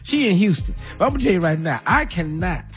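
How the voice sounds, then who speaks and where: A man's voice: high voice, very animated, fast, soft background music. A man, a co-host on morning radio, joking to the host he calls "Unc". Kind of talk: casual radio banter.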